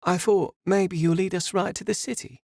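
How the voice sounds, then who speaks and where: A man's voice: high voice, fairly even intonation, normal speed, gravelly, darkish tone, dry recording, studio quality, voice pushed up. A man voicing a scheming young woman who talks down to others in a cold, condescending way. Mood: mocking. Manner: condescending, sing-song.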